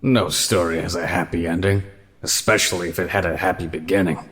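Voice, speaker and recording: Guy playing amateur actor in an audio drama, kinda low voice, short matter of fact lines. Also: steady tone, a little fast, fairly bright tone. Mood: terse, brooding.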